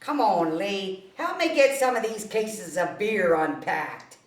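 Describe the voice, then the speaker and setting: A woman's voice: mid-range voice, lively intonation, slow pace. A woman reading the part of a bossy, foul-mouthed male trip leader at a screenplay table read. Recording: roomy group read, echoing.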